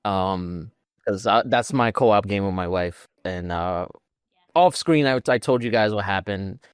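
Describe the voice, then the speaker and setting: A man's voice: lowish voice, a little slow, smooth, no echo. Man chatting casually, clean dry sound. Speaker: podcast co-host. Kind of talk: casual chat about video games.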